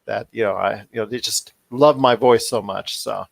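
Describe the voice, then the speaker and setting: A man's voice: on the low side, moderate pace, gravelly, no room sound. A man hosting a podcast in an upbeat, chatty style, wordy and given to repeating himself. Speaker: podcast host.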